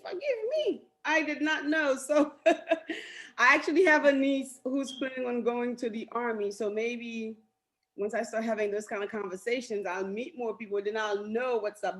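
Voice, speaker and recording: A woman's voice: on the high side, a bit bright. A woman co-hosting a podcast, chatting in a bubbly way and laughing often. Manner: bubbly, apologetic, laughs a lot. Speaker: podcast co-host.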